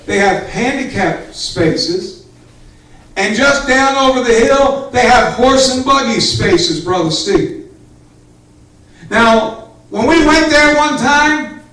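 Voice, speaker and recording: Male pastor, fervent and emphatic, very high voice, slow delivery, recorded in a highly reverberant church. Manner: fervent, emphatic, rising to shouts, addressing congregation directly. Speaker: pastor preaching. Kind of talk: Pentecostal sermon. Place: church sanctuary with small congregation. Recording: echoey church hall, far from camera.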